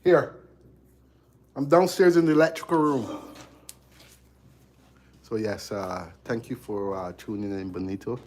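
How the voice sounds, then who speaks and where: Low-voiced Barbadian electrician streaming. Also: male voice, measured pace, long pauses, a little hiss or hum. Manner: relaxed, drifting off mid-sentence with fillers while busy. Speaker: electrician livestreaming his work. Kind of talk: casual live stream chat while working. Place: cold basement electrical room.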